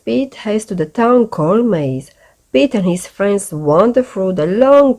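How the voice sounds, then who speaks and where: Female storyteller for kids' picture books, slightly low voice, expressive, unhurried pacing. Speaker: storyteller reading a children's book aloud. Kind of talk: read-aloud bedtime storytelling.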